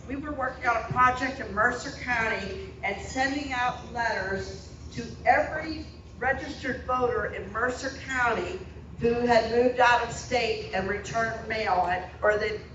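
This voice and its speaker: A woman volunteer explaining a project to a meeting in plain words. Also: fairly high pitch. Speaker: local volunteer speaker. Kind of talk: informal talk to a meeting. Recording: distant, roomy, muffled and noisy hall recording.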